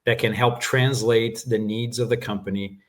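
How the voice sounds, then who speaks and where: A man whose first language is Portuguese, calmly explaining his work as a guest in a business interview, with frequent fillers. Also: medium pitch. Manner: measured explanations with frequent "uh" and "right?". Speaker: guest executive.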